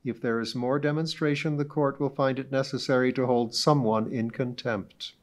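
A stern, weary judge who keeps things dry and measured, as a man reads him in his own everyday voice. He sounds plain and authoritative, speaking at a middle pitch in a storybook reading. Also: steady tone, natural voice.

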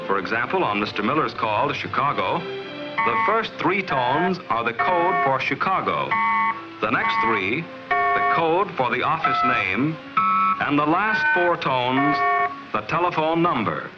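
1950s film narrator, dull vintage soundtrack. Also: male voice, mid-range voice, sing-song, over loud music. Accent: American. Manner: measured, explanatory, clearly enunciated.

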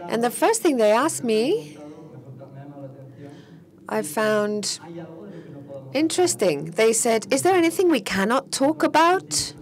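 A woman giving live interpretation of a panel discussion, steady but slightly halting, with restarts as she keeps up with each speaker. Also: a little high.

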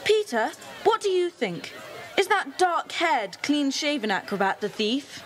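A woman playing a soft-hearted, outspoken young girl in an audio drama. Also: high-pitched, very animated, unhurried.

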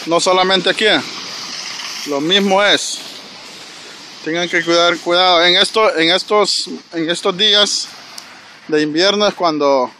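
A man's voice: high-pitched, pushed and bright. Chatty man vlogging on a snowy street. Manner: chatty, addresses viewers directly, frequent "¿verdad?". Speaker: vlogger walking and filming. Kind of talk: casual walking vlog narration. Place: snowy city street outdoors. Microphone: handheld phone camera. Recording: outdoor street noise, passing traffic.

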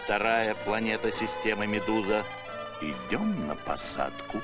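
Dour cartoon captain, low, slow, loud music behind. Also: male voice, animated. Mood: gloomy. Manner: glum, dour. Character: gloomy, pessimistic male spaceship captain. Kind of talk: animated film voice acting.

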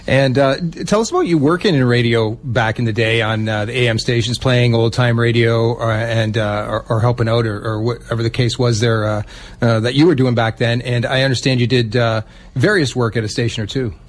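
A man's voice: mid-range voice, steady tone, a little fast. A man hosting a radio interview show, talking in a conversational way with his guest.

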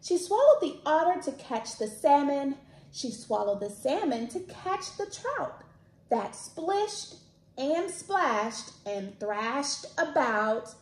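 A woman in her thirties hosting a library storytime, reading aloud to children expressively with dramatic, drawn-out emphasis. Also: high-pitched. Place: small indoor room, plain wall. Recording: echoey room.

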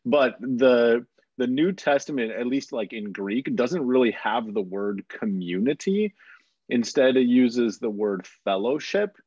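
A man's voice: mid-range voice, measured pace, a little mellow, slightly rough, no room sound. A man talking thoughtfully and explaining ideas as a panelist on a video call. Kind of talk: roundtable discussion. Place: home room with bookshelves. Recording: dry, muffled video call.

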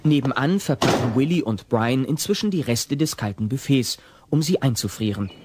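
Animated male audio play narrator. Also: mid-range voice, normal speed, heavy background noise.

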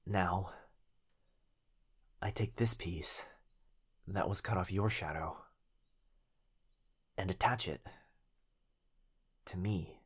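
A man acting as a devoted young boyfriend in an ASMR roleplay, tender, intimate and unhurried yet firm. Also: low voice, husky, full character voice. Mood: calm resolve.

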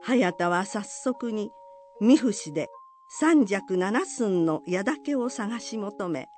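A woman narrates a folk legend in formal, polite language. The voice sits at a middle pitch with animated rises and falls. The pace is slow and measured, with music underneath. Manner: formal, polite, expressive storytelling.

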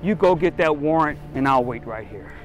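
Male actor as shrewd middle-aged police captain, slightly high pitch, measured, skeptical, calm, short-film drama, faint music. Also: natural voice. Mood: wary, skeptical. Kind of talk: scripted short-film acting. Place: outdoors in a parking lot.

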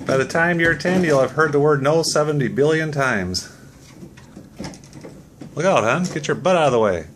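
A woman's voice: low voice, animated, moderate pace, a little hiss or hum. A woman filming at home in a hallway, making wry, joking comments from behind the camera. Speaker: family member filming. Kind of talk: home video. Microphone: camera mic, filming.

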